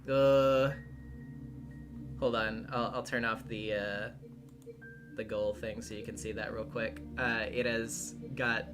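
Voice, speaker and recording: A man hosting a casual livestream, talking in a halting way and often trailing off mid-thought. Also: mid-range voice, bright, forceful tone. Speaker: streamer and voice actor hosting a visual novel readthrough. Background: background music.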